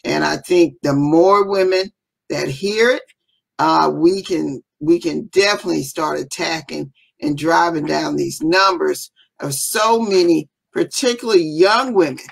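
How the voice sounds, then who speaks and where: A woman's voice: sits low, a little slow, vocal fry, breathy edge. A woman hosting a live online summit over a video call, chatty and enthusiastic, calling viewers y'all. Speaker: summit host. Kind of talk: live interview. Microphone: video call webcam.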